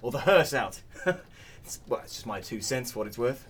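An actor playing an affable, chatty newcomer funeral director in a comic audio drama, politely hesitant and cheerfully tactless. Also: male voice, mid-range voice, animated, moderate pace, husky. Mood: politely critical.

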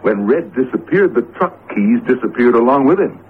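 An actor playing a flustered married professor in a radio drama, nervous and stubborn, with a hesitant, rattled delivery. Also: male voice, mid-range voice, expressive, moderate pace, breathy edge. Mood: worried. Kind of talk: 1970s radio drama acting. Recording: muffled, noisy vintage radio broadcast.

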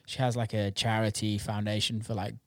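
A man's voice: fairly low pitch, little pitch movement, brisk, no room sound. A man chatting casually as a music producer, informal and sweary, peppering his talk with "like". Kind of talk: casual chat.

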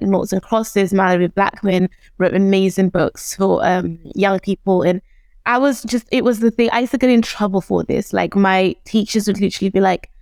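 A young woman from Zimbabwe whose first language is Shona, talking as a guest in an animated, casual interview and acting out the voices she quotes. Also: medium pitch, no room sound.

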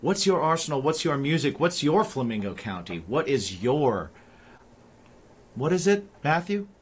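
A man's voice: a little high, very animated, a little slow, slightly rough. Easygoing male podcast co-host. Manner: easygoing, agreeable, chatty. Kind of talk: casual podcast chat.

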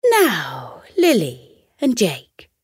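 Bedtime story head teacher woman performer: female, kindly, formal, measured, animated intonation. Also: mid-range voice, husky, no room sound, studio quality, heavily acted. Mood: kindly, reassuring. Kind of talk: children's bedtime story dialogue.

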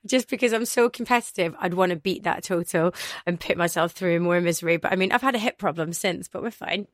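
A Scottish woman in her thirties, a radio DJ chatting about her life in a podcast interview, telling rambling stories with quick self-corrections. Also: fairly low pitch, dry recording, clean studio sound. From Glasgow, Scotland. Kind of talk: casual interview storytelling.

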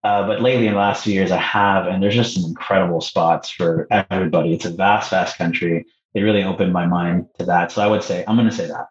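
Podcast guest: low-voiced man from Canada, relaxed, halting delivery, roomy and slightly muffled sound. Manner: relaxed, hesitant with many ums and restarts. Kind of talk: casual interview talk. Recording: echoey room, slightly dull sound.

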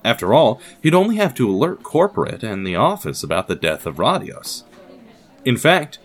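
A man's voice: medium pitch, sing-song, normal speed. A man reading scripted narration as the narrator of an audio production.

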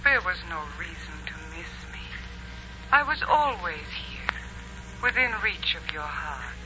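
An actress playing a devoted, tender princess in a 1940s radio romance, speaking in a soothing, romantic manner. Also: female voice, fairly low pitch, big pitch swings, a little slow. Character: tender, devoted Aztec princess, lost beloved returning. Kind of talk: 1940s radio drama acting. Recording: muffled 1940s radio broadcast, music behind.

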